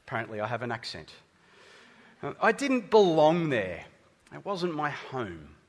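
A man's voice: fairly high pitch, sing-song, unhurried. A man with an Australian accent preaching a sermon, chatty and conversational with the congregation. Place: church hall. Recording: reverberant church hall.

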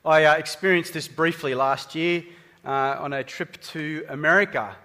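A man's voice: fairly high pitch, steady tone, moderate pace, bright, forceful tone. A man with an Australian accent preaching a sermon, chatty and conversational with the congregation. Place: church hall. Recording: reverberant church hall.

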